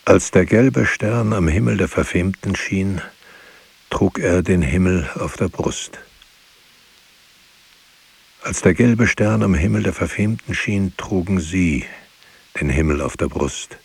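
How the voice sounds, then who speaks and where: An actor playing a grave, brooding narrator in a literary radio drama, reciting in a solemn, measured way. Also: male voice, deep voice, creaky, darkish tone, husky, exaggerated voice. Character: grave male narrator, poetic, brooding witness. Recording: faint background hiss.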